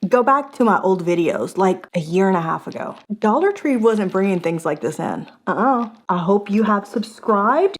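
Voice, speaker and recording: A middle-aged woman, hosting a casual product review vlog in a chatty, animated way, a little hoarse. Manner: chatty and animated, with gasps and big jumps in pitch.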